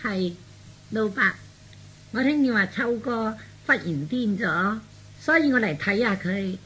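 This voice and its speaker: A woman's voice: medium pitch, animated, measured pace, fairly bright tone, slight background noise, rough recording. A woman playing a polite, concerned young lady who is easily startled, in a radio drama. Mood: anxious, startled. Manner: polite, anxious. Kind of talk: Cantonese radio drama acting.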